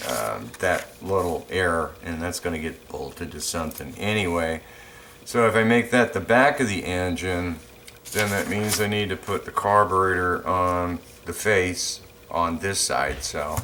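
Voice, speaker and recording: A man's voice: deep voice, pushed and bright, a little hiss or hum. A man in his fifties casually explaining his machining project in a home workshop, rambling with many ums and restarts. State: recovering voice after flu. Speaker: hobby machinist.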